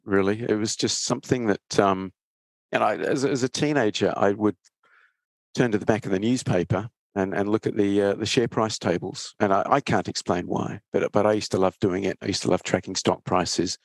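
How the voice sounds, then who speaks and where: Man, Australian accent, lowish pitch, interview guest, casual conversation, halting restarts, very dry room-free sound. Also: dry recording. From England, then Australia from age thirteen. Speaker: interview guest, trading educator.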